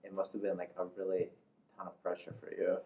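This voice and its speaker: Deep-voiced man interviewing, echoey sound. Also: completely flat delivery, fairly quick, far from the mic. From United States. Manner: informal, rambling, repeats filler phrases. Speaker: interviewer, host. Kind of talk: casual interview. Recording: echoey room, muffled, faint noise.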